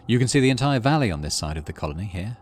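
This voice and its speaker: A man's voice: on the low side, big pitch swings, rapid-fire, a little hiss or hum. A man, middle-aged, doing playthrough commentary and reading game text aloud expressively. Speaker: gaming channel host.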